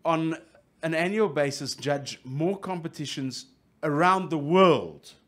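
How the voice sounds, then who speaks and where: A middle-aged man with a South African accent runs a radio interview. He is jokey and lively and stumbles through restarts. His voice sits a bit high for a man. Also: slow. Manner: jokey, lively, restarts a lot, plugs the station. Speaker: radio host.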